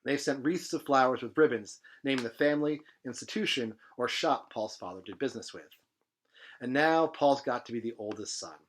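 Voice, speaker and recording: A man reading literary fiction aloud in an expressive, dramatic manner with emphatic phrasing. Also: mid-range voice, moderate pace. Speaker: presenter reading a novel aloud.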